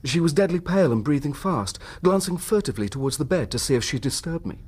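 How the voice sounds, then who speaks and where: An actor playing a troubled, suspicious husband in a radio drama, speaking in formal, precise phrases. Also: male voice, on the high side, lively intonation, fast talker, darkish tone, breathy edge. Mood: grave, puzzled. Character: troubled, suspicious husband recounting his wife's secret.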